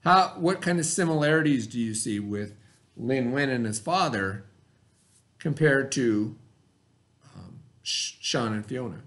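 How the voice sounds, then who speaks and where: A male teacher with a mid-pitched voice leads a read-aloud lesson. He explains things simply and step by step, in the manner of someone checking that pupils follow. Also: lively intonation, measured pace, fairly bright tone. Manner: explanatory, asks and answers own questions.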